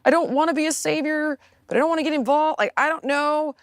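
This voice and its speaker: A woman from California, talking to a guest in an emphatic way, rising to exasperated peaks with quick bursts. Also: sits high, no room sound, studio quality. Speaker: guest speaking to a political figure. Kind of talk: impassioned conversational monologue.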